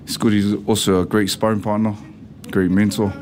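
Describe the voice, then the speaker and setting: A man, a pro fighter answering interview questions in a halting way, with many fillers and restarts. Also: lowish voice, little pitch movement, crowd noise. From Samoa. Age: 30s. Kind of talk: documentary interview. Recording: noisy background ambience.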